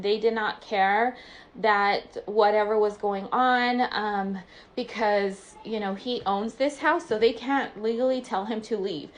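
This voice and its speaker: A woman's voice: on the high side, slight background noise. A woman telling a personal story in a casual, exasperated and emphatic way. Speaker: online small business owner.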